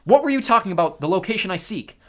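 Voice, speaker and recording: Woman playing an exasperated young boy, pitched up. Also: expressive, fast talker, a little hiss or hum, natural voice. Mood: defiant. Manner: exasperated, protesting. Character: alarmed, sarcastic, stubborn young boy hero.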